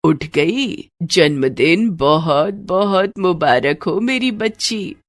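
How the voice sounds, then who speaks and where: A woman voicing a gentle, loving elderly grandmother in an audio story, tender and reassuring. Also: fairly low pitch, lively intonation, very noisy. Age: older. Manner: slow, tender, soothing. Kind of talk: dramatic audio story acting.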